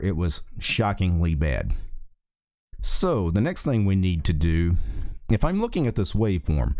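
A middle-aged man with a very low, dark voice, talking through software steps in a relaxed way and restarting his sentences now and then. He records at a desk microphone in a small home office, and the sound is dry and close. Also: no room sound. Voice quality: deep voice. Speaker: tech tutorial host. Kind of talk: casual screen-recorded software tutorial. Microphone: desk USB microphone.

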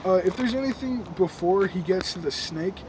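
Slangy young man in a dark room. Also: sits high, animated, moderate pace. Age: young adult. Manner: slangy, laid-back, joking. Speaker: co-host. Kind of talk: casual storytelling. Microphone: camera a few feet away. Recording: noisy camera audio.

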